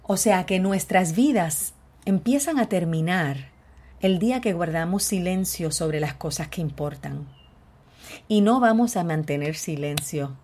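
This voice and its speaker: Puerto Rican woman host, slightly low, dark and mellow tone, frank and outspoken delivery. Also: breathy edge. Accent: Puerto Rican Spanish. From Puerto Rico. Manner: outspoken, candid, openly frustrated. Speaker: podcast host. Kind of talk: solo podcast monologue.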